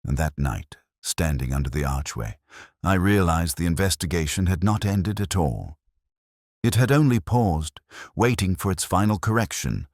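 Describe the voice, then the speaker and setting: A man playing a brooding, melancholy police detective, narrating his case in a measured, reflective, literary manner, as in a scripted mystery drama. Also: sits low, lively intonation, a little mellow, no room sound, studio quality.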